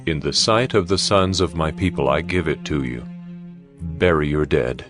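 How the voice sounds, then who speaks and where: An actor playing a dignified, courteous ancient landowner in a dramatized scripture recording, measured and deferential yet emphatic. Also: male voice, very deep voice, music in the background. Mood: insistent, generous. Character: courteous male Hittite landowner, generous and dignified. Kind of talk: dramatized scripture audio acting.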